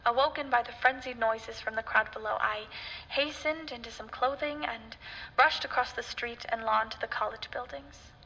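A woman playing a haunted scholar in a horror radio drama, narrating in a solemn, deliberate, foreboding way. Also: fairly high pitch, pushed and bright, slightly rough, full character voice, low-quality recording. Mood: tense. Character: grave, haunted scholar recounting horrors, ominous.

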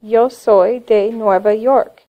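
A woman voicing a Spanish teacher in a cartoon lesson, speaking slowly and clearly. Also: a little high, fairly even intonation. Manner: slow, clear teaching delivery. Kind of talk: scripted cartoon lesson narration. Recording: dull lo-fi sound.

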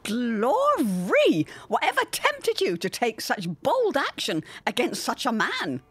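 A woman's voice: on the high side, wildly swooping pitch, slow, fairly bright tone, breathy edge, dry recording, heavily acted. A woman playing a grieving, plain-spoken widowed mother with a folksy, effusive manner in an audio drama. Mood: astonished.

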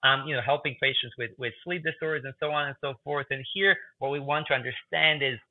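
A man's voice: medium pitch, fast talker, no echo. A man in his thirties with a Croatian accent, a guest researcher answering interview questions in a chatty way with many fillers and repeats, over a video call from a room with a whiteboard. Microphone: video call earbuds. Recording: tinny phone-like call audio, dry.

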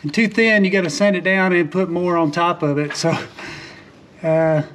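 Man, high voice, casual DIY narrator with lots of fillers, faint outdoor hiss behind, recorded on a first-person camera. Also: moderate pace. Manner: relaxed, plainspoken, frequent "uh" fillers and restarts. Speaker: boat refit vlogger. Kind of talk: casual how-to narration while working. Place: outdoors on a sailboat deck.

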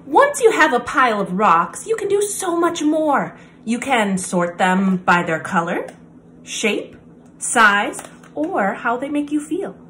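A woman presenting story time, reading a children's picture book aloud with deliberate, expressive phrasing and pauses. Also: a little high, a little hiss or hum.

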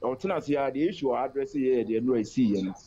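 A man reports live by phone for a sports radio show, moving between Twi and English. His voice is mellow and dark, with a middle pitch. The sound comes through a dull, muffled phone line. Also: breathy edge. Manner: fast, fluent report mixing Twi and English.

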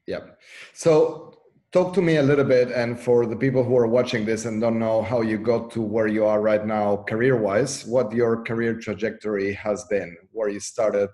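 A man in his forties hosts a conversation over a video call. His voice sits on the low side for a man, and he puts his questions across in an easy, unhurried way. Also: a little mellow. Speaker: host.

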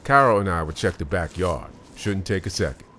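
Man acting, reserved survivor, very low voice, polite, comic drama, noisy recording. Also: lively intonation, a little fast, sharp tone. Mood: matter-of-fact. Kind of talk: amateur comic-dub voice acting.

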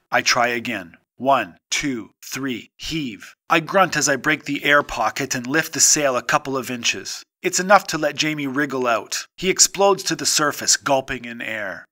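A man reading a short story aloud as an audiobook narrator, with a measured, dramatic delivery and pauses. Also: medium pitch, bright, forceful tone.

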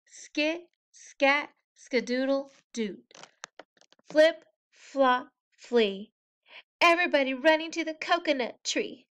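A woman preschool teacher reading a picture book aloud to young children in a playful, expressive, sing-song manner. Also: sits high, takes it slowly. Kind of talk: animated children's story read-aloud.

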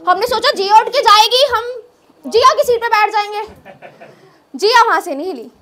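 A woman's voice: very high voice, lively intonation, normal speed, pushed and bright. A young woman doing conversational observational stand-up, repeating phrases for emphasis into a handheld mic. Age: young adult. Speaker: stand-up comedian. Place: small indoor open-mic stage. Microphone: handheld microphone.

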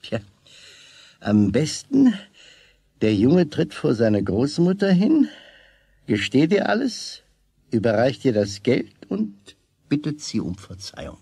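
An actor playing a worldly-wise shopkeeper in a radio play, husky, in measured, halting phrases. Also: male voice, medium pitch, very animated, mellow, no room sound, full character voice. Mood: pondering advice. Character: slow, worldly-wise male shopkeeper, drawling his advice.

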